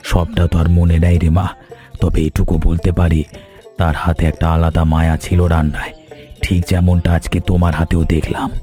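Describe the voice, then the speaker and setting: A man doing a kind old village elder in a cartoon, low mellow voice, quick talker, gentle and fatherly, soft music underneath. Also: flat delivery, close to an everyday voice. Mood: nostalgic. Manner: gentle, fatherly, calls others "ma". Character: kindly elderly village man, warm and approving. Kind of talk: animated cartoon dialogue.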